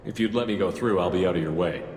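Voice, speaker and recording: A commanding special agent played by a man in a video game, low-pitched and steady. He talks calmly and firmly in plain words, with long reverb and some ambient noise behind. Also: quick pace, lightly acted. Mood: casual, disarming. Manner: calm, firm, plain-spoken.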